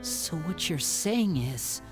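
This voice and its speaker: A man's voice: fairly high pitch, expressive, slow pace. A man voicing a composed young male in a video game, a stern older brother who questions firmly. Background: music.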